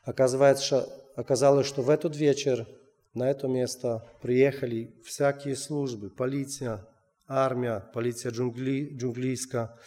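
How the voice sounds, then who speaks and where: A man telling a missionary testimony, halting with many restarts. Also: medium pitch, flat delivery, dark tone. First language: Russian. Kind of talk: personal testimony. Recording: long hall reverb.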